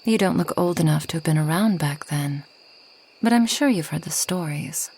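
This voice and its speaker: A woman playing a reclusive, ageless forest witch in a soft ASMR roleplay, gentle and wry, often slipping toward a whisper. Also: low voice, expressive, moderate pace, husky, full character voice. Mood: soft, wistful. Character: reclusive, centuries-old kind but proud forest witch.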